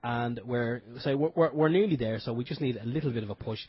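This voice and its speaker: A man co-hosting an internet radio show, relaxed and jokey, often repeating himself. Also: mid-range voice, fast, slightly rough. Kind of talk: casual radio chat and announcements. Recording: dull lo-fi internet radio audio.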